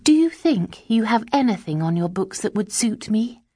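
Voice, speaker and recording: A woman voicing a lively, proud young woman of modest means, speaking eagerly and with spirit. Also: medium pitch, normal speed, no room sound, clean studio sound, voice pushed up, close to an everyday voice.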